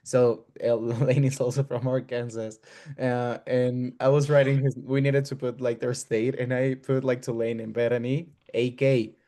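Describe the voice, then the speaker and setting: A man's voice: medium pitch, little pitch movement, moderate pace, mellow. Spanish-born man telling a story. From Spain. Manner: storytelling, laughing. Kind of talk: casual video call roundtable.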